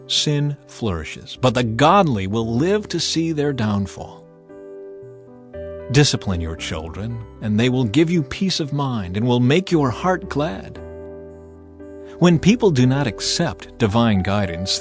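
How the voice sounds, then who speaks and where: A man giving a dramatized, measured and emphatic scripture narration as an audio Bible reader. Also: medium pitch. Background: background music.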